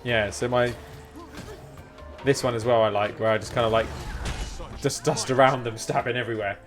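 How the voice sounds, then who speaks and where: A man's voice: mid-range voice, steady tone, normal speed. A man streaming a game, chatting informally with rambling explanations. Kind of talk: casual live-stream chat while gaming. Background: game music.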